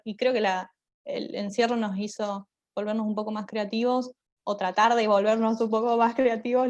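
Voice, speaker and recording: A woman's voice: on the high side, darkish tone, muffled recording. A woman gives conversational panel answers, reflective and often rephrasing as she explains. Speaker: theatre group member. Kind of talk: conversational panel answers about creative work.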